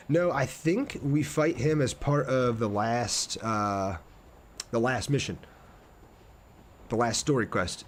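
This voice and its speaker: A man's voice: medium pitch, darkish tone, slight background noise. A man streaming a game, chatting casually and in a laid-back way with his viewers as he plays. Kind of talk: casual livestream chat over gameplay.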